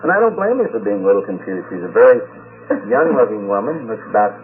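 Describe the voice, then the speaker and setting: A man hosting an unscripted radio panel talk, speaking in a halting, deliberate way. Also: fairly low pitch, big pitch swings. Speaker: radio talk show host. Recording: tinny, noisy 1950s radio recording.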